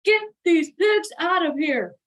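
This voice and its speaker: An exasperated farmer father from a children's book , as a woman reader puts him on. He yells commands in a pitched-up, high voice. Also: lively intonation, takes it slowly, full character voice. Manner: yelling, commanding. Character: exasperated farmer father ordering his daughter around.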